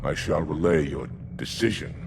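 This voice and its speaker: An actor voicing a stern, dutiful alien military commander in a game cutscene, formal and restrained. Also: male voice, very deep voice, moderate pace, gravelly, background noise. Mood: restrained protest. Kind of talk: video game cutscene acting. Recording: loud cinematic music behind.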